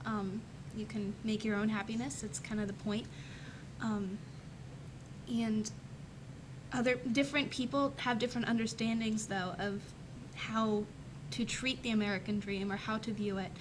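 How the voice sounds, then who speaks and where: A young woman student on a discussion panel, thinking aloud tentatively with pauses. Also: flat delivery. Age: teens to young adult. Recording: clear background noise and rumble.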